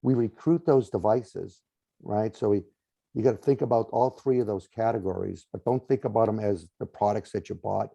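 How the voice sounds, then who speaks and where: A man with a Boston accent explains home systems in long conversational runs, tagging his points with a quick "right?". His voice sits low with a gravelly edge and a deeply mellow tone. It is recorded dry and close. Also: no room sound. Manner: explanatory, lists items, frequent "right?" tags. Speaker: smart home company guest. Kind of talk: conversational interview.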